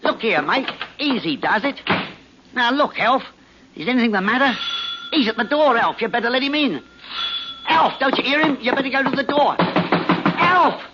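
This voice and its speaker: An actor playing a matey, nosy Cockney pub regular, garrulous and slangy, in 1940s radio drama style. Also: male voice, very high-pitched, expressive, normal speed, long pauses, heavy background noise. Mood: alarmed. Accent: Cockney English. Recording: muffled 1940s radio recording.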